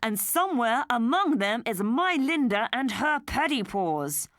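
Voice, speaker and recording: A woman's voice: fairly high pitch, very animated, unhurried, a bit bright, no room sound, clean studio sound, pitched above the performer's own voice. Woman as a grim, worried father. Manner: grim, tense. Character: grim, frightened father in a crowd.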